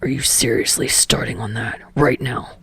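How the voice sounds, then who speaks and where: A woman voicing an irritable, guarded young man in an audiobook story, with a low raspy growl and a terse, grumbling manner. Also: steady tone, normal speed, a bit bright, no room sound, heavily acted. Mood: irritated. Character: gruff, irritable young male actor, foul-mouthed, guarded.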